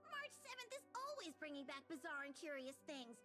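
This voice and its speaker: A woman's voice: high-pitched, big pitch swings, brisk, pushed and bright, faint music behind, exaggerated voice. A woman voicing a small cute train conductor creature in a video game, fussy but caring, speaking of itself in the third person. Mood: fussy, complaining.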